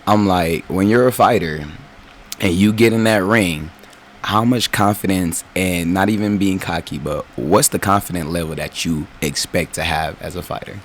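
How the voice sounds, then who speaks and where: A man hosts a casual podcast chat, his very deep voice raspy. He talks in a loose, laid-back way, full of fillers. A faint hiss sits under the recording. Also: moderate pace. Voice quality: raspy today. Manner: laid-back, lots of fillers and "you know". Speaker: podcast host.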